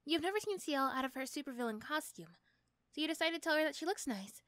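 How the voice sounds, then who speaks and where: A woman's voice: high-pitched, expressive, fast, fairly bright tone, no echo, clean studio sound. A woman narrating a scripted second-person story for an ASMR roleplay.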